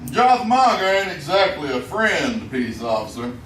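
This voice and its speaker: A man's voice: a little high, very animated, a little slow, a bit bright. An actor playing a blunt, menacing backwoods mountain man with a Southern mountain accent in an old-time radio western. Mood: grimly determined. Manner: blunt, folksy backwoods talk. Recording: roomy, distant old radio recording, noisy.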